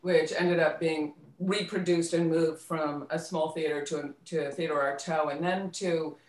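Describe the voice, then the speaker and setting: A woman's voice: low voice, fairly even intonation, normal speed, darkish tone. A middle-aged American woman reminiscing casually as an interview guest, in a reflective, conversational way that searches for details. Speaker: interview guest, theater actress and director. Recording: roomy, echoey voice pickup.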